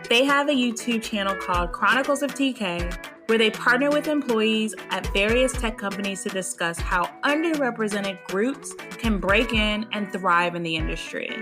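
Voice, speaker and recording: A woman's voice: medium pitch, moderate pace, hardly any pauses, music in the background. A young woman hosting a podcast, talking in an upbeat, effusive way with casual slang. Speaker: podcast host. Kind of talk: casual interview chat.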